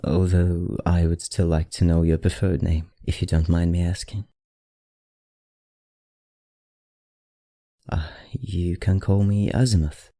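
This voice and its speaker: A man playing a courteous, teasing, ancient vampire librarian in an ASMR roleplay, speaking genteelly with a put-on accent in a low, husky voice. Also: little pitch movement, normal speed, dry recording. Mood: gently teasing. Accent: put-on, not his own. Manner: genteel, old-fashioned, softly teasing. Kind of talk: ASMR roleplay, addressing the listener.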